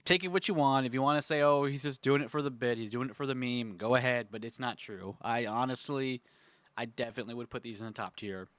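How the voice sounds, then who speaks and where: A young man with a congested throat, chatting casually as a gaming host, rambling with lots of fillers and frequent throat clearing. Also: medium pitch, fairly even intonation, fast, fairly bright tone, no room sound. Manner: rambling, filler-heavy, enthusiastic. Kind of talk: casual tier-list chat.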